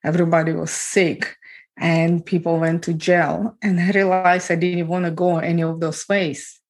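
A woman from Ukraine speaking English with a Ukrainian accent and small grammar slips, telling her life story as an interview guest in a steady, matter-of-fact way. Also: on the low side, normal speed. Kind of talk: personal testimony in an interview.

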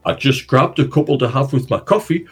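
A man's voice: medium pitch, animated, fairly quick, husky, faint music behind. A man voicing a sheepish, easygoing dad who answers back mildly. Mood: defensive.

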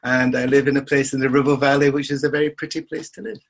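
An older man being interviewed on a podcast, his voice husky and of middling pitch. He talks in an anecdotal, conversational way and slips in dry humour. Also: flat delivery, fast. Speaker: surgeon and podcast guest.

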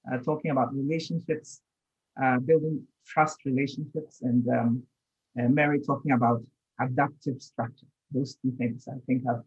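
A man in his forties from Ghana, a professor being interviewed, explaining in a measured way with repeated stumbles on words. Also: medium pitch, breathy edge. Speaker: professor, guest. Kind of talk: interview answers. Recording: dull remote call audio.

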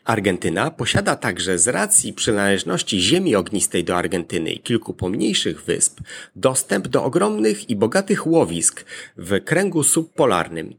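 A man presenting a scripted explanatory monologue in a measured, formal manner, setting out arguments point by point. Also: fairly low pitch, gravelly, slightly rough.

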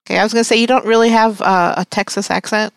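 A talk radio host from New York with a New York accent, speaking in a bubbly way and quick to laugh. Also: mid-range voice, fairly even intonation, vocal fry, no echo. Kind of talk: radio talk show hosting.

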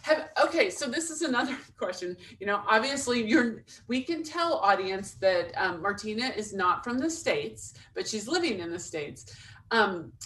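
A woman hosting an interview, lively and self-deprecating, laughing easily between questions. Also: medium pitch, normal speed, recorded from a distance. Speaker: podcast host. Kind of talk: casual interview talk.

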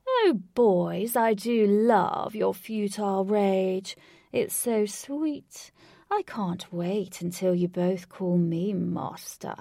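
A woman voicing a pompous male villain in a storybook, theatrical and gloating, booming out slow taunts. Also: vocal fry, dark tone, no echo, studio quality.

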